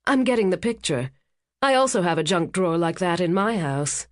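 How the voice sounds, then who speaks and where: A woman voicing a careful, polite widow, speaking higher than her narration, in a dry close recording. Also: animated, normal speed, no room sound, lightly acted.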